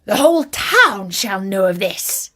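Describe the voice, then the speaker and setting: Lady doing a proud little tailor guy from a fairytale, theatrical and cheery, pitch all over the place. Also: sing-song, measured pace, pushed and bright, full character voice. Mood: boastful.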